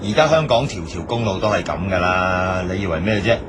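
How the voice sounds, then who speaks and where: An actor with a Hong Kong Cantonese accent playing an impatient, sarcastic driver in a radio drama. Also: male voice, sits low, animated, a little fast. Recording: noisy, with vehicle sounds behind.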